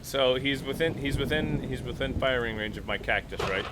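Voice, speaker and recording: A man's voice: medium pitch, moderate pace, heavy background noise. A man chatting casually as a tabletop game player, often checking the rules aloud with questions.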